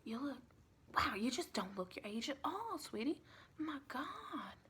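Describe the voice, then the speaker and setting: A woman playing a sweet, chatty vampire lady with a Southern US accent, soft-spoken and partly whispering in a rambling, reassuring ASMR roleplay. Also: high voice, sing-song, a little slow, a little hiss or hum, exaggerated voice. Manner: folksy, rambling, reassuring, doting.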